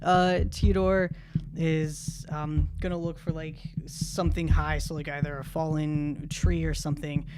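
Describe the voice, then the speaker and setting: A man with a fairly high voice plays a tabletop role-playing game. He describes his character's moves casually and haltingly, working them out aloud, with clear noise in the recording. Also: little pitch movement.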